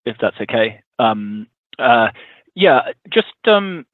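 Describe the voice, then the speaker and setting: A man's voice: mid-range voice, big pitch swings, takes it slowly. A man speaking as a financial analyst on an earnings call, hesitant and restarting as he puts his question. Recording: dry phone-like line.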